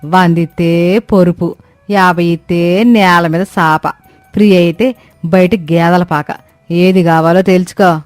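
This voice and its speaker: Woman playing a bossy mother-in-law, lower-pitched. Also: steady tone, slow pace, no room sound, faint music behind. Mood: haggling, boastful. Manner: scolding, haggling, exclamatory. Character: bossy, stingy, boastful village mother-in-law. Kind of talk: Telugu comic cartoon story acting.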